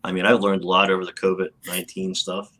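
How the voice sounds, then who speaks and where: A man's voice: deep voice, completely flat delivery, quick pace, fairly bright tone. A man co-hosting a casual radio talk show, agreeable and supportive with the guests.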